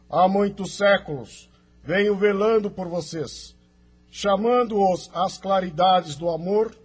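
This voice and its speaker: A man's voice: high-pitched, slightly rough. A man playing a solemn, benevolent spirit emissary in an audio drama, speaking in a formal, exhorting way.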